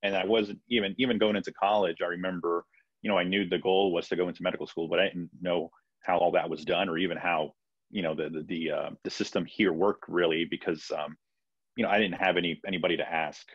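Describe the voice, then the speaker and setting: Man in his 30s, physician guest, deep husky voice, halting speech full of fillers, dull video call audio. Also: steady tone, no echo. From Peru, raised in Florida. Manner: hesitant, many fillers and restarts. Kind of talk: casual interview answers. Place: home room, video call.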